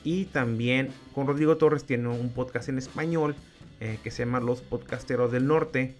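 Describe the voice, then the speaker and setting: A man's voice: medium pitch, fairly even intonation, normal speed, soft background music. A man in his forties with a Mexican accent hosting a podcast in a casual, slangy way and swearing freely. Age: 40s. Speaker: podcast host. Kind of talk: podcast introduction and casual interview chat.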